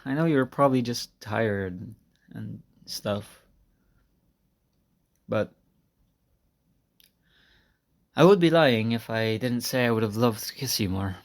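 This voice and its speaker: A man playing a shy, earnest high school senior in an intimate roleplay, talking softly and hesitantly to his crush. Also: lively intonation, a little slow. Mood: tender, confessing. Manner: soft-spoken, hesitant, conversational with sighs and small laughs. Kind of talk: intimate roleplay audio monologue to a listener. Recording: amateur recording without a proper microphone.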